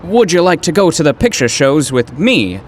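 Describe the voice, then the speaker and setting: An actor playing an earnest, awkward poetry professor, flustered and florid, in old-time radio drama style. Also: male voice, fairly high pitch, very animated, moderate pace, slight background noise. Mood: nervous, eager.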